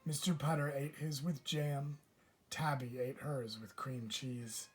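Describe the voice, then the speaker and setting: A man reads a children's story aloud in the manner of a classroom teacher. His voice sits at a middle pitch. He phrases each sentence deliberately and with care for young listeners. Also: flat delivery, background noise.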